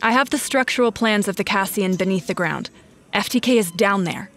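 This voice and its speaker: A woman's voice: medium pitch, brisk, fairly bright tone, natural voice. An actress playing a driven woman investigator in an audio drama, speaking in a clipped, determined way. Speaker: detective lead in audio drama. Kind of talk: audio drama acting with inner narration.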